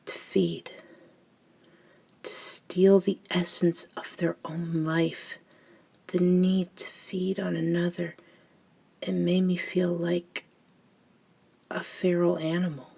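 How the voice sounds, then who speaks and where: A woman playing a centuries-old vampire mentor in an intimate ASMR roleplay, soft-spoken and reflective, often close to a whisper, with measured pauses. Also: lowish voice, gravelly, husky, full character voice.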